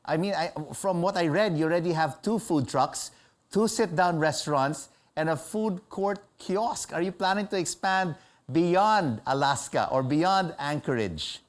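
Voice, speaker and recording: A woman's voice: on the low side, unhurried. Lady news host, chatty, lots of uhs while asking stuff. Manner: chatty, hesitant with many uhs. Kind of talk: broadcast interview.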